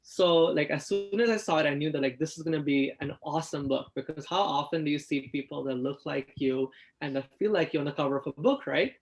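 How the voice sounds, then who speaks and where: A man's voice: fairly high pitch, fairly quick, few pauses, rough recording. A man who is a drag artist, sharing stories in an enthusiastic, informal way. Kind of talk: picture-book reading and casual sharing.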